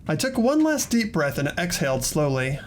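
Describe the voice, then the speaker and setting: Higher-pitched man narrating a game. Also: sing-song, normal speed, a little hiss or hum. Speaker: narrator and player in a voiced game playthrough. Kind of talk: dramatic game narration with casual asides.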